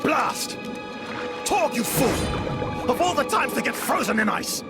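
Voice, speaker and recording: A man's voice: very high voice, animated, takes it slowly, full character voice. An actor playing a haughty, hot-tempered male warlord villain in a video game, sneering and melodramatic. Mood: furious. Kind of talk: video game cutscene acting. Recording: loud music under the voice.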